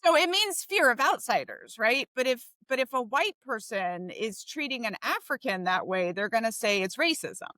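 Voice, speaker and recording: Brief: woman from Brazil, podcast co-host, bright forceful tone, close dry sound, makes her points with emphasis. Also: on the high side, big pitch swings, normal speed, hardly any pauses, no echo. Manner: emphatic, explains and argues in long stretches. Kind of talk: casual podcast conversation.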